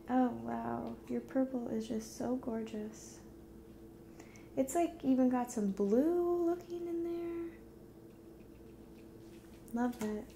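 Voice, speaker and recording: A woman in her twenties doing a soft-spoken ASMR roleplay to the listener, gentle and hushed, drifting into whispers. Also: high voice, creaky, background noise. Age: 20s. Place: dim indoor room at a table.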